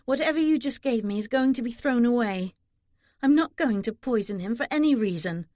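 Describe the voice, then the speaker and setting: A woman's voice: fairly high pitch, animated, brisk, dark tone, no room sound, voice pushed up, lightly acted. A woman narrator plays a young noblewoman who stands her ground. She speaks sharply and indignantly, throwing out challenges with open scorn, in a storybook reading with a close, dry sound. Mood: firm, scornful. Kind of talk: audiobook character dialogue.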